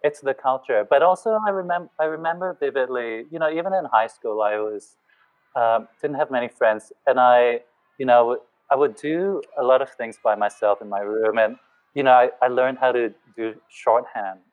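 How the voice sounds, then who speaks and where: A man's voice: medium pitch, moderate pace. A man from Malaysia, a guest talking in a reflective, hesitant way. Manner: hesitant, many fillers and restarts, reflective. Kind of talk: casual podcast chat. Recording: phone-like narrow sound.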